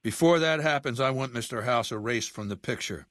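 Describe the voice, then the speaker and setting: A man's voice: mid-range voice, brisk, a bit bright, no room sound, clean studio sound, lightly acted. An older man playing an imperious, ruthless warlord in a video game, speaking with cold, commanding, formal diction. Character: imperious, ruthless ageing male warlord commanding a slaver legion. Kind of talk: video game character acting.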